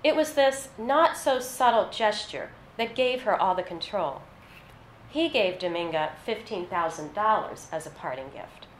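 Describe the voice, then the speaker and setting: A woman reading literary fiction aloud at a live event, with a measured, narrative delivery. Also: mid-range voice, expressive. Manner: measured, scripted narration with read-out dialogue. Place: live reading in an echoey venue. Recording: roomy echo, faint background noise.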